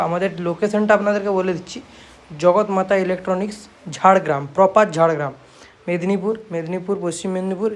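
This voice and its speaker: A man with a West Bengal Bengali accent, a repair shop owner talking casually to viewers, repeating himself and often adding confirming tags. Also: high-pitched. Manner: repetitive, habitual confirming tags. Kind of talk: casual shop video, showing work to viewers.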